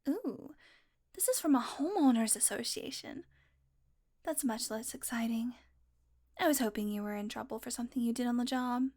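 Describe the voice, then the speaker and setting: A woman's voice: fairly high pitch, moderate pace, pauses often, darkish tone, close to an everyday voice. A woman voicing a playful, predatory female vampire who teases and mocks, sometimes dropping to a whisper. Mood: amused. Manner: playful, mocking, drifts into whispers. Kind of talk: audio roleplay.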